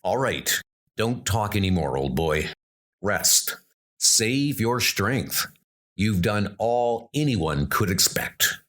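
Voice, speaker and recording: A man playing a resolute ex-soldier who leads armored knights, speaking in a measured, commanding manner for a comic-book audio drama. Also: on the low side, very animated, fairly bright tone, voice pushed down, exaggerated voice. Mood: gentle, grave. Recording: music under the voice.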